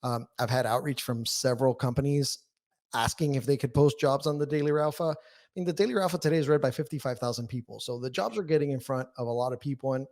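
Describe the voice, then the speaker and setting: A man's voice: medium pitch, a little mellow. A man speaking as a podcast guest, explaining things candidly and emphatically in casual interview talk. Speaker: podcast guest, newsletter writer.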